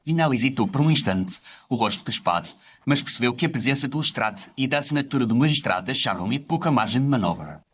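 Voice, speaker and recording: A man's voice: mid-range voice, animated, fast talker, a bit bright, a little hiss or hum. A man narrates a scripted audio story. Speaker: narrator telling the story as a doctor character.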